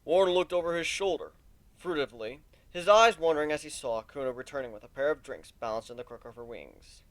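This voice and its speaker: A man's voice: fairly high pitch, brisk, fairly bright tone, no echo. A man narrating a fan fiction story as a dramatic reading.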